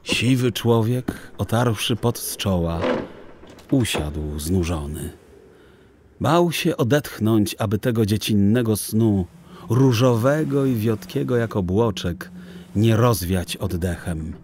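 A man's voice: on the low side, lively intonation, slow, darkish tone, slight background noise. A man narrating a children's audiobook expressively, with theatrical, dramatic phrasing.

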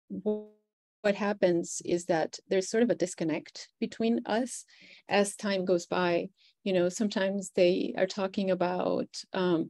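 Woman, native Spanish speaker with Venezuelan accent, lowish mellow voice, reflective panel talk. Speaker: poet, panelist.

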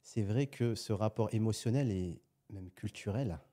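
A man's voice: on the low side, measured pace. A man, a guest entrepreneur on a podcast, talking casually and acting out little scenes as he tells stories. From France. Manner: animated storytelling, acts out little scenes.

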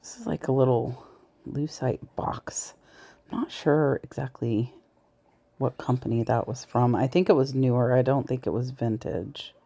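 A woman's voice: a bass voice, measured pace, warm tone, slightly rough. A woman chatting to viewers as she browses the shelves of a large thrift store, filmed with a body-worn camera, sometimes dropping to a whisper. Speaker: thrift reseller vlogger. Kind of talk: casual shop-with-me narration. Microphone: body-worn camera mic, point of view.

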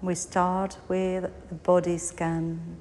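A woman teaching yoga nidra, guiding a meditation in short phrases with pauses between them. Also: lowish voice, completely flat delivery, a little mellow. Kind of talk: guided meditation, body scan narration. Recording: long hall reverb, faint background noise.

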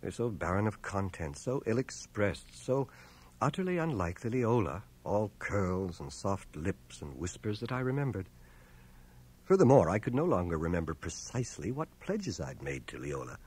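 An actor playing an earnest, reserved young war veteran in a radio drama, measured, hesitant and bookish. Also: male voice, lowish voice, very animated, a little mellow, breathy edge. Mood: wry, troubled. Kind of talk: 1980 radio drama acting and first-person narration. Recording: cassette transfer of radio drama, faint music.

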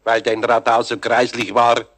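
A man's voice: medium pitch, completely flat delivery, moderate pace, fairly bright tone, close to an everyday voice. An actor playing a kindly, patient older master craftsman with a Bavarian accent in a children's radio play. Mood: gently firm.

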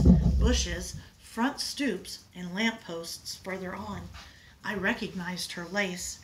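A woman's voice: mid-range voice, a little hiss or hum, lightly acted, low-quality recording. A woman voicing a middle-aged father fondly telling an old family story, in a folksy, lilting way.

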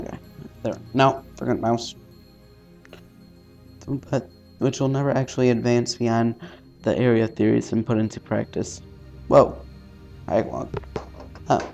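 A man playing a visual novel, reading its text aloud haltingly with casual, sweary commentary. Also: medium pitch, gravelly, a little mellow. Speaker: let's-player. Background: faint game music.

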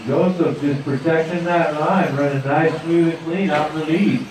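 A man's voice: fairly high pitch, moderate pace, heavy reverb, far from the mic, noisy background. A man giving live play-by-play commentary at an outdoor dirt track. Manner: steady play-by-play calling. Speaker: race announcer.

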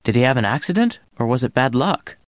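A man's voice: medium pitch, sing-song, quick pace, no echo, clean studio sound, natural voice. A man playing an inquisitive, serious teenage boy in audiobook dialogue, questioning and intent. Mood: serious, curious.

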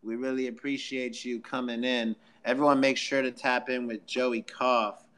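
Male podcast host for a casual live audio room. Medium pitch, slangy, promotional hype. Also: level tone, moderate pace, fairly bright tone.

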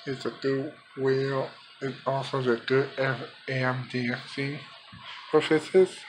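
A man giving an unscripted showcase of his collection in halting, fragmented phrases, recorded on a handheld camera in a room. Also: mid-range voice, flat delivery, rough recording. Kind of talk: unscripted product showcase vlog. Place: small room by a window. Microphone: handheld camera, speaker off screen. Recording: background noise, homemade handheld camera audio.

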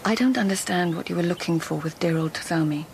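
An actress playing a poised, poetic court lady in a radio drama, refined and measured, at times hushed. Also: lowish voice, creaky, a little hiss or hum. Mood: cool, guarded. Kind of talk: radio drama acting, poetic narration and dialogue.

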